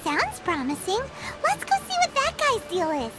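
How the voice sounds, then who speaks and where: A woman's voice: very high-pitched, wildly swooping pitch, normal speed, heavily acted. A woman voicing a tiny, chatty and blunt floating girl companion who talks about herself in the third person, in a video game. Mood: exasperated. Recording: game audio with loud music.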